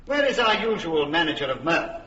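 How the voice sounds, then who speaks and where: An actor playing a genial, commanding duke, speaking classical verse in a grand, amused, theatrical manner. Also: male voice, fairly high pitch, big pitch swings, fairly quick, pushed and bright. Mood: eager, commanding. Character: genial, commanding duke presiding over his court. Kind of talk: classical stage verse acting. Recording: muffled hall recording.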